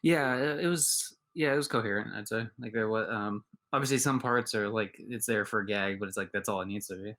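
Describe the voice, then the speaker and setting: Man, slightly low pitch, casual podcast chat, rambling, filler-heavy. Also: a little fast, hardly any pauses, fairly bright tone. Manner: rambling, lots of filler words. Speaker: podcast co-host.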